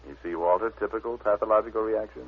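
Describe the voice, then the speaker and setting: A man's voice: lowish voice, natural voice. A male actor with an American accent playing a sardonic, wisecracking private detective in an old-time radio drama. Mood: dry wisecracking. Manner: sardonic, quick with deadpan insults. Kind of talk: old-time radio drama acting and first-person narration. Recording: muffled, narrow-band old radio recording.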